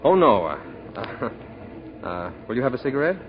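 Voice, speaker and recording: A man's voice: mid-range voice, very animated, normal speed, close to an everyday voice. A man playing a courteous, slightly hesitant novelist in 1940s radio drama style. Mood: bashful, charmed. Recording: muffled, noisy 1940s radio recording.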